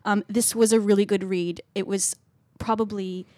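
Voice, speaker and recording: A woman's voice: mid-range voice, fairly even intonation, moderate pace, mellow, no echo. A woman with a Canadian accent co-hosting a book podcast, talking casually, hesitant and self-deprecating, often laughing. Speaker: podcast co-host.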